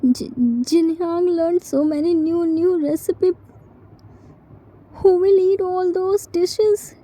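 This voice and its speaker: A woman plays a grieving young man in a fan-fiction drama. The voice is extremely high and very muted in tone. Lines come slowly, in halting, scolding and pleading phrases. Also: steady tone, dark tone, poor audio. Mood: scolding, sorrowful.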